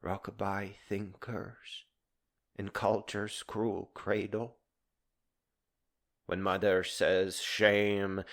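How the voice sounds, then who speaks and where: A man delivering a dramatized poetic monologue in a solemn, grandiloquent, theatrical manner. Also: low-pitched.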